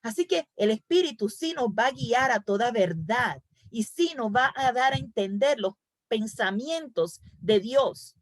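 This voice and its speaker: Woman Bible study leader, earnest, rhetorical questions, rather high voice, dry close sound. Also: expressive, measured pace, no room sound. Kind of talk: Bible teaching to a women's group.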